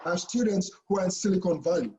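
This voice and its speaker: Man, mellow-voiced professor. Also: high-pitched, expressive, fast, slight background noise. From Kenya. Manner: chatty, frequent "okay?" and restarts. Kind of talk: online info session talk.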